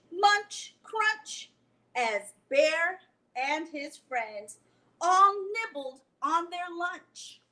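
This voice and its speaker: A middle-aged woman hosting a library story time, talking directly to children and reading aloud in an expressive way. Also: sits high, low-quality recording. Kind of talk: children's read-aloud and chatty announcements to young viewers.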